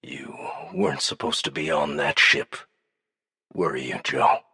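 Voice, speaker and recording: A man voicing a cunning alien dignitary in an audiobook, probing with languid, deliberate menace. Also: a bass voice, animated, vocal fry, pushed and bright, breathy edge, dry recording, studio quality, pitched below the performer's own voice, heavily acted. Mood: quietly probing.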